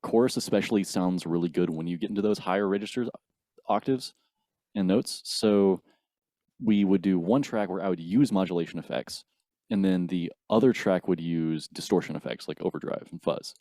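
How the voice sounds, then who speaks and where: A man's voice: low-pitched, moderate pace, dark tone, dry recording. A man in his early twenties, a band musician, explaining things step by step in a casual interview. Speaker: band bassist, guest.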